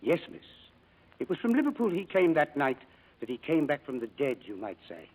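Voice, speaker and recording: A man's voice: fairly high pitch, huge pitch swings, normal speed, darkish tone, husky, exaggerated voice, low-quality recording. A man playing a deferential, uncertain, confiding manservant in 1940s radio drama style.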